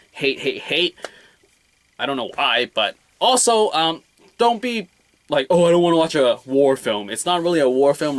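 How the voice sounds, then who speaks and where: A man speaks as an amateur reviewer, casual and unscripted, at a medium pitch. He rambles in loose colloquial phrases, often circling back to repeat a word or phrase. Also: fairly bright tone. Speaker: film reviewer.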